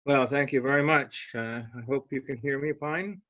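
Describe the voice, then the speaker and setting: A man's voice: mid-range voice, moderate pace, fairly bright tone, dry recording. A man, presenting and reading his own poetry on an online call. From Canada. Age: 70s. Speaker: poet reading own work. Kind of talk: informal introduction and measured poetry reading. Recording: dull, lo-fi online call audio.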